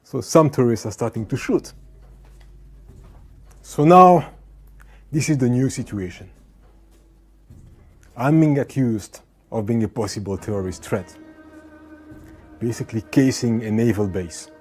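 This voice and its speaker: A man of mid-range pitch tells a personal story straight to the camera, chatty and lively, and keeps checking in with the listener. Faint background music plays under him. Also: unhurried, pauses often. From France. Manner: conversational, animated retelling with asides. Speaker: vlogger.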